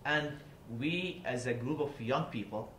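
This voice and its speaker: A man's voice: medium pitch, measured pace, fairly bright tone. Man in an echoey room. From Iraqi Kurdistan. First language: Kurdish. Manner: earnest and explanatory, with frequent restarts. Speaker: guest speaker. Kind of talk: public talk. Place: university seminar room. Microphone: table mic from a seated distance. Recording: echoey room with faint noise.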